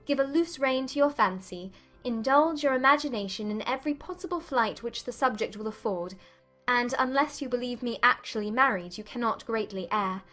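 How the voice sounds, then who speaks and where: A woman acts a spirited young gentlewoman from a period romance audiobook. Her voice is fairly high, witty and teasing, with music playing behind it. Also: normal speed. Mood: joyful, affectionate.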